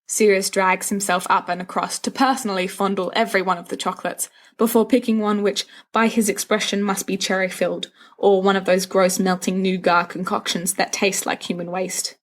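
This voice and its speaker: A woman's voice: mid-range voice, flat delivery, fairly quick, hardly any pauses. A woman narrating a story from a script, reading expressively as for an audiobook. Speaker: audiobook reader of fan fiction.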